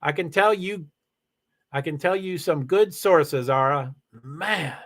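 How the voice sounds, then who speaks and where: A man streaming live from home, chatting casually and rambling excitedly with lots of exclamations and restarts. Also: a little high, slow pace. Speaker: live streamer reading chat. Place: home in Washington State.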